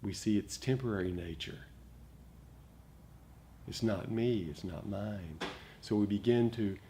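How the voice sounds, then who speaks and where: Low-voiced man teaching meditation. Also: a little slow, dark tone. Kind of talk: dharma talk to a meditation group. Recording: noticeable background noise.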